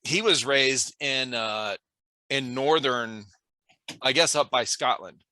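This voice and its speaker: American dude hosting a podcast, bright forceful voice, dry close sound. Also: male voice, medium pitch, slow, no room sound. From United States. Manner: laid-back, says "bro" a lot. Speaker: podcast host. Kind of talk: casual chat.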